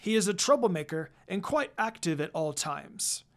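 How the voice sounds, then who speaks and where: A reader giving an expressive first-person narration of a fan fiction story. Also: medium pitch, moderate pace.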